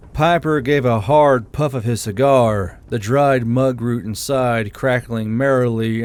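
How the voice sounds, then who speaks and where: A man narrating an audiobook chapter in steady, measured storytelling. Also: mid-range voice.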